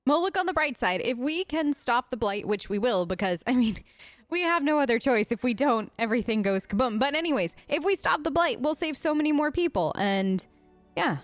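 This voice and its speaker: A woman's voice: high voice, animated, fairly quick, no echo, soft background music. A woman hosting a let's play, improvising role-play dialogue and commentary in an informal, conversational way.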